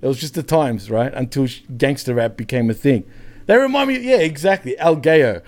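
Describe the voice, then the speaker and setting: A man's voice: medium pitch, lively intonation, a little fast, darkish tone. An Australian man bantering casually on a wrestling review show, jokey, sweary and often laughing.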